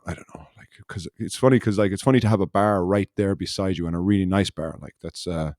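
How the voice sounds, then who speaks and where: A man with a deep, mellow voice, hosting a podcast in easy, casual chat. He wanders through his story and circles back on himself. The sound is dry and close, with no room around it. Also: fast talker, dry recording. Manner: rambling, loose storytelling that circles back on itself. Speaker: podcast host.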